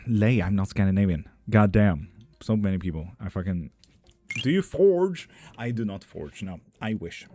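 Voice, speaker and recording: Low-voiced man chatting casually on stream. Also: expressive, normal speed, dark tone. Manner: loose and joking, swears freely. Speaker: tarot reader and witchcraft streamer. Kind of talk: casual livestream chat, reading out viewer messages.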